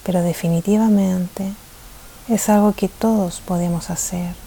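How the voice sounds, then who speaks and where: A woman leading a guided meditation, soft-spoken and soothing, with gentle pauses and a tendency to drift toward a breathy near-whisper. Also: on the low side, fairly even intonation. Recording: faint hiss behind the voice.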